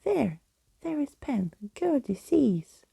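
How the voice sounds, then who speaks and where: Lady doing a bratty obsessive storybook villain girl, higher whispery whine, crackly, baby-talk grammar. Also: very animated, slow pace, creaky, warm tone, no echo, exaggerated voice. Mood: greedy excitement. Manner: broken childish phrasing, wailing. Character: petulant, obsessive imaginary girl villain, talking in third person. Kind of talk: whispered storybook character reading.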